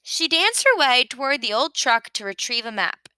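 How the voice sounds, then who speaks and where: A woman reading a children's picture book aloud with expressive narration. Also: high voice, normal speed, clear, resonant tone, no echo. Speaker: storybook reader.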